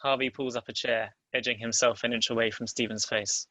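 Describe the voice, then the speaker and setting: Guy reading stage directions, bright clear-cut voice, dry close recording. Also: mid-range voice, little pitch movement, a little fast, no echo. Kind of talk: scripted narration at table read.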